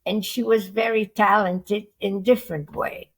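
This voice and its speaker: A woman, slowly and haltingly recalling her life as an interview guest. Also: medium pitch, steady tone, husky, rough recording. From Poland. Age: 90s. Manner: slow, halting, with fillers like "you know". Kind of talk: casual reminiscing interview.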